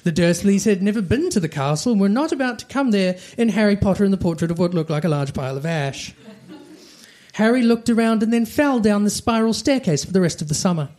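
A man's voice: sits high, animated, fairly quick, a little mellow. A man reading comic fiction aloud like a storybook, with dramatic delivery. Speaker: reader of comic fan fiction. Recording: faint background noise and clicks.

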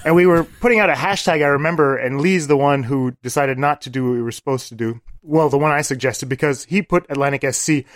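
A man's voice: fairly high pitch, quick pace, hardly any pauses, no room sound, studio quality. A man from Canada with a Quebec English accent, chatting as a podcast guest over a call and laughing often. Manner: laughs a lot, self-deprecating. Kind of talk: casual chat over a call. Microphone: video call.

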